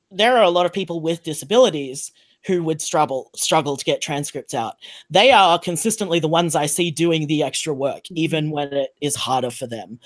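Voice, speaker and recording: A woman speaking casually and frankly as a podcast panelist in a group discussion. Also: deep voice.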